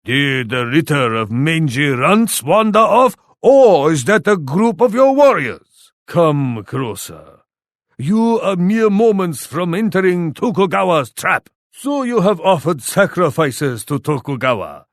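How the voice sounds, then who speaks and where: An actor playing a pompous, menacing Japanese shogun warlord in a video game, speaking with formal, scornful deliberation. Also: male voice, on the high side, sing-song, a little slow, no room sound, clean studio sound. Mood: threatening. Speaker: enemy leader taunting the player. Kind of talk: theatrical video game villain voice lines.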